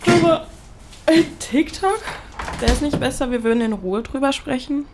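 A young woman playing a caring, worried flatmate in a naturalistic audio drama, earnest and a little hesitant. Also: sits high, moderate pace, loud music behind the voice. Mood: gentle, hesitant. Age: young adult.

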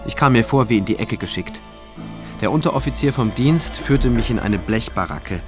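Actor as reflective prosecutor narrating, mid-pitched, over music. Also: male voice, expressive, normal speed, lightly acted. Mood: reflective, wry. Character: male state prosecutor, a wry, observant investigator narrating his case. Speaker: narrator and lead character. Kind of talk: literary first-person radio drama narration.

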